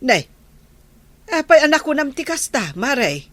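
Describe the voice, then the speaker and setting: Casting: actress as vain, easily huffy Ilocano village woman; high, bright comedy voice, dry sound. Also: very animated, unhurried, no room sound, heavily acted. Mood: miffed. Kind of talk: comic radio-drama acting.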